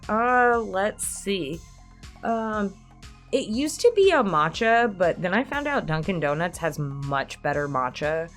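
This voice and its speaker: Low-voiced, animated woman chatting casually. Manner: candid, self-deprecating, freely swearing. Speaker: online creator answering subscriber questions. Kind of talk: casual Q&A chat.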